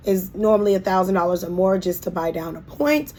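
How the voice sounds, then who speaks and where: A woman real estate agent talks through financing choices in a relaxed, conversational way. Her voice is hoarse, and she pauses often with ums and restarts. She sounds friendly and down to earth. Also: medium pitch, a little hiss or hum. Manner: chatty and warm, with frequent ums and restarts. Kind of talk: casual explainer video.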